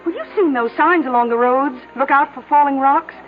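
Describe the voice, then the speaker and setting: A woman's voice: high voice, normal speed, close to an everyday voice. An actress playing a plainspoken, chatty small-town innkeeper who needles her guest in an old-time radio drama. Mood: chatty, breezy. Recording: tinny vintage radio recording, background music.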